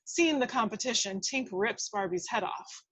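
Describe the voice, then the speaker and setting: A woman reading screenplay action lines aloud with dramatic, expressive delivery. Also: fairly high pitch, normal speed, low-quality recording. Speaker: reader of action descriptions. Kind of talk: scripted narration, screenplay table read.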